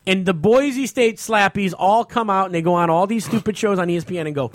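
A man's voice: sits high, a little fast, dry recording. An American man on a casual sports talk show, ranting in an exasperated, emphatic way and repeating himself. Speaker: podcast host.

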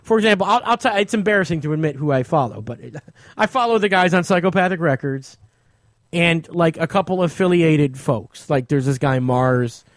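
High-pitched man chatting, explaining insistently. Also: expressive, normal speed, no room sound, clean studio sound. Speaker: radio show host and comic. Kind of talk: casual podcast chat.

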